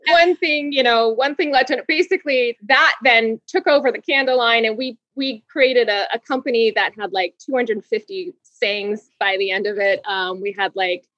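A woman in her forties from Hawaii, a guest telling her story in an interview, bubbly and quick to laugh, restarting words. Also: a little high, a bit bright, no room sound, lo-fi sound.

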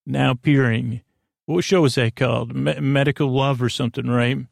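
A man with a gruffish, creaky voice hosting a bedtime podcast in a halting, goofy, meandering monologue that often trails off mid-thought. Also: mid-range voice, steady tone, no echo, clean studio sound. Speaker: host of a bedtime sleep podcast. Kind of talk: rambling, meandering monologue to lull listeners to sleep.

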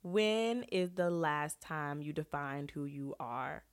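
A young woman with a low voice hosts her own podcast, talking straight to her listeners. She is congested and sniffly from a cold, and she asks them direct, earnest questions in a casual, personal way. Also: slow pace. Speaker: podcast host. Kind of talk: casual solo podcast advice talk.

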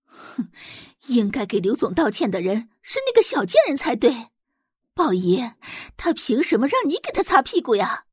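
A woman acting aggrieved scolding mother, middle-aged, swooping intonation, slightly husky, audio drama. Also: a little high, huge pitch swings, normal speed, darkish tone, no echo, clean studio sound, full character voice. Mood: scornful, indignant. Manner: indignant, scolding.

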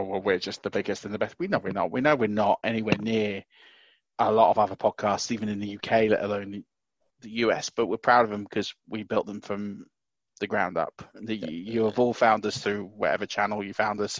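A man co-hosting a podcast from home, talking loudly and excitedly, often restarting his sentences. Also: fairly low pitch, no echo, highs cut off. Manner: excitable, stumbling restarts, loud. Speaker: podcast co-host. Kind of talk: casual podcast chat. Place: small house.

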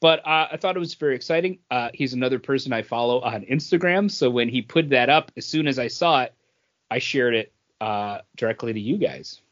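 A man's voice: medium pitch, fairly quick. Man chatting, dull lo-fi sound. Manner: enthusiastic, wordy fan talk. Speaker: comic book podcast co-host. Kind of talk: casual chat.

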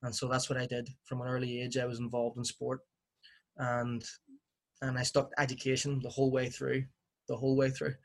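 A man from Northern Ireland speaks with a Belfast accent, his pitch held flat and even. He tells his story reflectively, with a dry joke now and then. His speech is full of ums and restarts. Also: brisk, creaky. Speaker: guest, fitness coach. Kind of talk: conversational interview.

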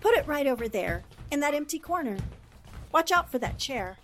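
A woman plays a plucky newspaper columnist, heroine of a vintage radio play. Her high voice is very lively in pitch, brisk and self-possessed. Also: slight background noise. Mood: bright, courteous. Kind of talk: 1940s radio drama acting.

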